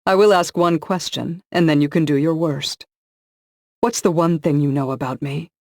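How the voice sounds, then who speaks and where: A woman's voice: deep voice, steady tone, moderate pace, darkish tone, no room sound, clean studio sound. A man voicing a ruthless, scheming female villain in an audiobook, cold and boastful with a manic edge. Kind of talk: audiobook dialogue.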